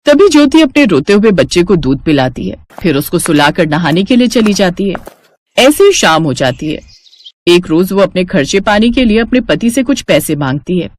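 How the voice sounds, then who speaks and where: A woman's voice: on the low side, sing-song, moderate pace, a little mellow. A woman narrating a scripted family moral story as a storyteller.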